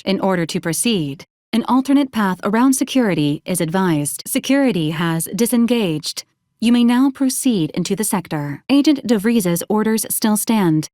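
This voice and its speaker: A woman voicing a polite, literal female robot assistant in a video game, speaking in formal, clipped, deadpan announcements. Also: medium pitch, no echo, clean studio sound, close to an everyday voice. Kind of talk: scripted video game character lines.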